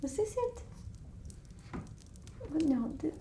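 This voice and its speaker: A woman voicing a quirky, forgetful vampire potion-maker in a put-on Eastern European accent, breathy and rambling in soft ASMR style. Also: sits high, big pitch swings, a little hiss or hum, voice pushed up, heavily acted. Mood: absent-minded searching. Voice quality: breathy, whispery. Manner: rambling, absent-minded muttering, slow and halting. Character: quirky forgetful female vampire potion-maker with old-world folk remedies. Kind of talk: ASMR roleplay, whispered and soft-spoken.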